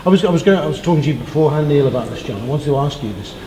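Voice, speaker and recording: A man's voice: on the high side, lively intonation, rapid-fire, dark tone. A man from North London co-hosting an interview, chatty, often stammering and restarting. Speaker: co-host. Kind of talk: casual interview chat. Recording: echoey room with faint noise.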